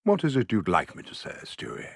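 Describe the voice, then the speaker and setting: An actor voicing an older British therapist who speaks in a measured, polite and reserved way. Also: male voice, on the low side, wildly swooping pitch, gravelly, slight background noise, full character voice. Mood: calm. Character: calm older male therapist. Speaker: child psychologist. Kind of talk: animated sitcom voice acting.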